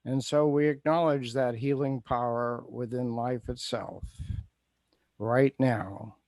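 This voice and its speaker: A man speaking an improvised prayer as a spiritual leader, in solemn, measured phrases. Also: mid-range voice, steady tone, darkish tone, no echo. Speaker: spiritual leader giving a blessing.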